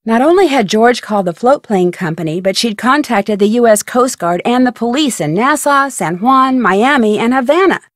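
A clear-headed young woman detective , played by an actress narrates a video game story in a slightly high voice, recorded very dry. Her storytelling is measured and articulate. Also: lively intonation, no echo, lightly acted. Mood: composed, recounting. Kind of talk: scripted first-person game narration.

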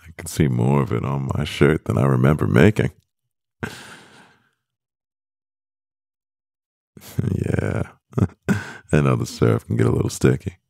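Very deep, gravelly man acting as a CEO boyfriend. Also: normal speed, darkish tone, no room sound, clean studio sound, exaggerated voice. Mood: amused, flustered. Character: stressed, devoted male CEO boyfriend. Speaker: ASMR boyfriend roleplay voice actor. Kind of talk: intimate ASMR boyfriend roleplay.

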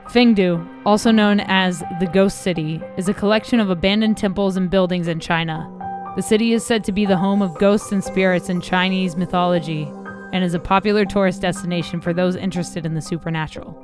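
A woman hosting a channel, reading a scripted documentary narration in a measured, even countdown manner. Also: lowish voice. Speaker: channel host. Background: music bed.

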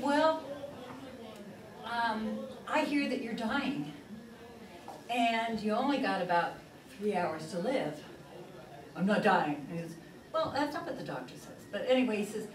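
An older woman, a nun, telling a long story to a class. She keeps stopping to say "he says" as she recounts the dialogue. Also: mid-range voice. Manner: rambling, repeats "he says" while retelling dialogue. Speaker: religious teacher, nun. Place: plain meeting room with tables. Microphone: far camera across the room. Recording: echoey room, far-off mic, listeners audible.

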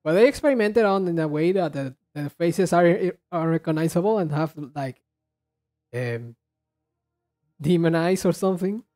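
A man chatting casually and haltingly as he hosts a reaction video, rambling in a low-key way. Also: darkish tone. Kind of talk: casual chat, reacting to game trailers.

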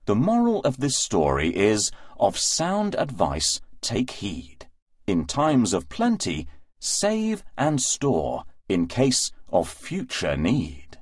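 A man's voice: on the low side, huge pitch swings, slow pace, a little mellow, husky, no echo, clean studio sound. A man narrating a rhyming children's story as a scripted storybook reading.

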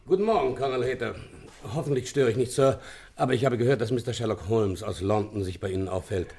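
Gravelly, polite police inspector. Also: male voice, medium pitch, quick pace, a little mellow. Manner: deferential, matter-of-fact. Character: polite, businesslike male police inspector reporting a case. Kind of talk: German radio play acting.

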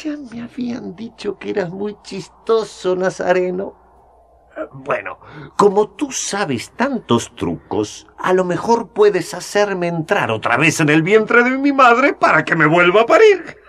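Nicodemo, a scoffing old scholar. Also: male voice, sits high, very animated, unhurried, creaky, fairly bright tone, slight background noise, full character voice. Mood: mocking. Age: older. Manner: mocking, worldly, laughing. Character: older male scholar, scoffing money-minded elder. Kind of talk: radio drama acting.

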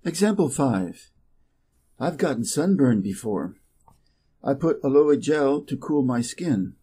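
A man from Wisconsin with an American Midwest accent, an English teacher reading practice dialogues slowly and playing both parts. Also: big pitch swings, mellow, slightly rough. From Wisconsin, USA. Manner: reads both dialogue parts, raising his pitch for speaker A.

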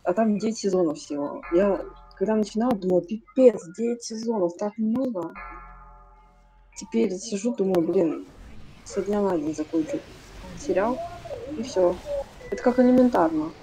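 A woman's voice: mid-range voice, normal speed, long pauses, mellow, soft background music, rough recording. A young woman on casual gaming voice chat, chatting away and acting out her own inner voices as she talks. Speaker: raid player on voice chat.

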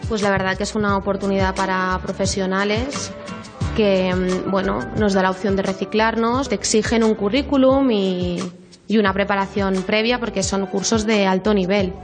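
Woman restorer explaining things in an interview, mid pitch, light music behind. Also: fairly even intonation, normal speed. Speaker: restoration professional on a course.

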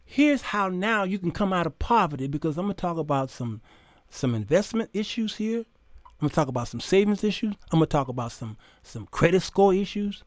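Male American talk host, high register, dry close-mic sound, emphatic preacherly monologue. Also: dry recording. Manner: animated, preacherly, emphatic, with rhetorical questions and acted-out dialogue. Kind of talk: informal opinionated monologue to camera. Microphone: close condenser microphone on boom arm.

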